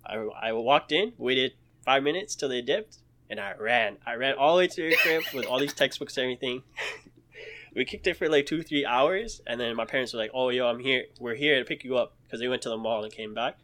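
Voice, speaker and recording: A man's voice: medium pitch, brisk, bright, forceful tone. A young man telling stories from his youth in a casual podcast chat, laid back, full of slang and laughing through his stories.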